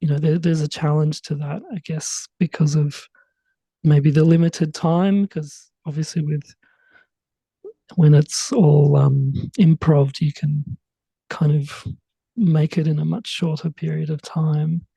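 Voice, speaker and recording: A man's voice: on the high side, level tone, measured pace, gravelly, warm tone, husky, no echo, poor audio. A man, a writer-director guest chatting casually in an interview, rambling and full of fillers. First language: English.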